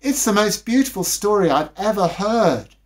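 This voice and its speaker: A man acts out an eager young child in a storybook tale. The voice is pitched well up and swings widely as it asks questions. It sounds wide-eyed and full of curiosity. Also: animated, normal speed. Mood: earnest delight.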